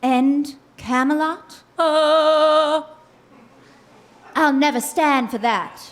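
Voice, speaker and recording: A woman plays a prim, wide-eyed first lady with a high voice. It is comedic radio play acting. The live stage recording has a little noise. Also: very slow. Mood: resolute.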